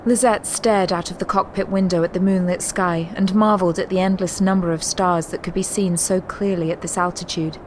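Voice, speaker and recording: A woman narrating a scripted sci-fi audio drama in a measured, literary storytelling manner. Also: fairly low pitch, steady tone, darkish tone, a little hiss or hum, lightly acted, low-quality recording.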